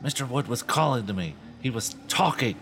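A man's voice: medium pitch, lively intonation, a little fast, fairly bright tone, slight background noise, pitched above the performer's own voice. A man voicing a frightened, hysterical young girl who pleads with her twin in a storybook read-aloud. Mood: anxious. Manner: stammering, shrieking, pleading.

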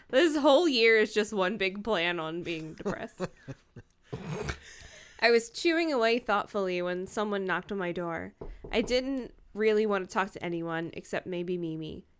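A woman's voice: medium pitch, lively intonation, unhurried, a little hiss or hum. A woman co-hosting a podcast, reading a novel aloud and adding wry, irreverent asides. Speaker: podcast co-host.

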